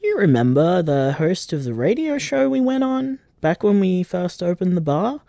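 A man voicing an affectionate young man who leaves a soft, rambling late-night voicemail for his boyfriend in an audio drama. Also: sits high, very animated, normal speed, vocal fry, no echo, studio quality, exaggerated voice. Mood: tender.

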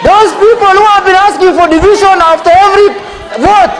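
A middle-aged man, a member of parliament at a desk microphone, arguing defiantly and rising to shouts. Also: extremely high pitch, steady tone, brisk. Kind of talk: combative floor debate. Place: large parliament chamber. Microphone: desk microphone, standing. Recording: long hall reverb, faint crowd murmur.

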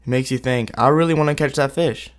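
A boy in his early teens answers questions casually as a student interviewee. His voice sits at a middle pitch. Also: fast talker. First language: Swiss German learned in early childhood alongside English. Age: young teen.

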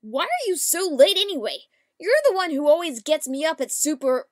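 Woman voicing a high, lively young pony. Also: normal speed, no echo, studio quality. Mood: scolding. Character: young female cartoon pony, sweet and excitable. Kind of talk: fan audio drama voice acting with flubbed retakes.

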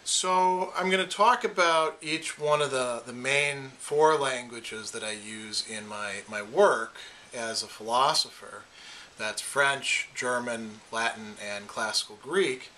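Bright-voiced Midwestern man talking to camera. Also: mid-range voice, slow, a little hiss or hum. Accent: Upper Midwest American. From Wisconsin, USA. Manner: thoughtful, repeats words as he restarts. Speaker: philosophy lecturer. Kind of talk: casual personal talk to camera.

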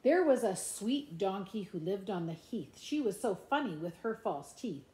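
A middle-aged woman reading a children's picture book aloud in an expressive, playful, sing-song way, with asides about the pictures. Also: medium pitch, moderate pace. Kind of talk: animated children's storybook read-aloud with asides. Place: indoors in a room at home. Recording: echoey room.

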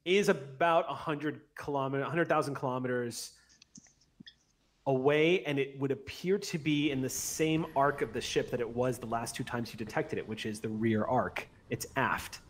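A man's voice: medium pitch, fairly even intonation, moderate pace. Explanatory male game master, noisy background. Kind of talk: narrating and explaining a tabletop game. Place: indoors at home on a video call. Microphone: large desk microphone close by. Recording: clear background noise on video call.

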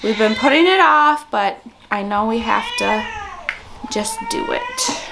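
A woman with a raspy, just-up voice talks casually through her day for a family vlog. She takes her time, and some room noise sits behind her as she films indoors on a handheld camera. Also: high-pitched, big pitch swings, measured pace. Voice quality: raspy morning voice. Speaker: family vlogger and mother. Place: rooms at home, indoors. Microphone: camera at arm's length, sometimes set down.

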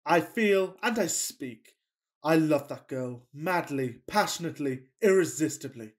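Man acting a florid, melodramatic Victorian gentleman. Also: fairly high pitch, lively intonation, a little slow. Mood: rapturous. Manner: declamatory, pompous, florid. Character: melodramatic, fussy Victorian gentleman suitor, self-pitying and passionate. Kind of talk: farcical radio play acting.

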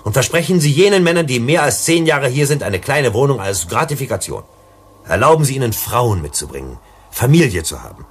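A man's voice: medium pitch, very animated, brisk, slightly rough. An actor playing a principled, cautious male psychologist in a sci-fi radio play, emphatic and lecturing. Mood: urgent pleading. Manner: emphatic, didactic.

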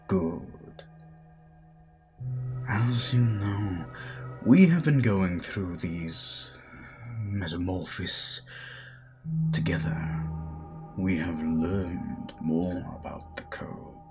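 A man playing a stern, menacing Sith master who instructs his apprentice in a deliberate, grandiose manner. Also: low voice. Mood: solemn welcome. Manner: deliberate, grandiose, pausing for weight. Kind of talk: dramatic audio roleplay monologue. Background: loud background music.

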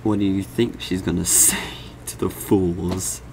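A man's voice: low voice, level tone, a little slow, vocal fry, background noise. A young man giving casual reactions to a video he is watching as host. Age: young adult.

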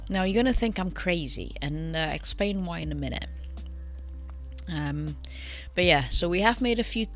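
Man with a cold, gravelly. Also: a little high, fairly bright tone. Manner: chatty, rambling with fillers and self-corrections. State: has a cold. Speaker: game channel host. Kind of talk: casual gameplay commentary. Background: faint background music.

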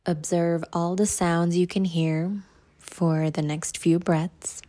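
Woman, low pitch, meditation guide, measured instructional delivery. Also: little pitch movement, dark tone.

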